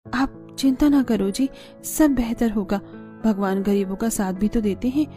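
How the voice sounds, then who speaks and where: A woman voicing a poor, gentle, hopeful mother in a cartoon moral story, speaking in a soft, reassuring, respectful way. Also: a little high, unhurried, natural voice. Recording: background music under the voice.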